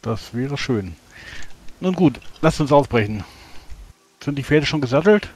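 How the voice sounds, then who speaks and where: A man's voice: mid-range voice, animated, a little slow, breathy edge. A man playing a wounded, grim adventurer who speaks formally and with composure in a tabletop roleplay. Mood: composed.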